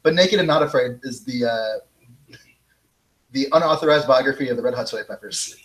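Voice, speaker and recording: A man's voice: mid-range voice, a little fast. Sarcastic young man in an echoey room. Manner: sarcastic, deadpan jokes. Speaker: podcast co-host. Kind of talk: casual chat on a live podcast. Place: home living room, indoors. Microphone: handheld mic.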